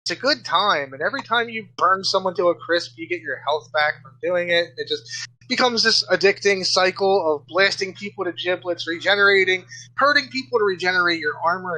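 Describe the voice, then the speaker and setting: A man's voice: high voice, fairly quick, bright, forceful tone, poor audio. A man on a podcast panel giving a casual, enthusiastic rundown of video games. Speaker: games site editor-in-chief, podcast panelist. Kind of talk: casual enthusiastic game review chat.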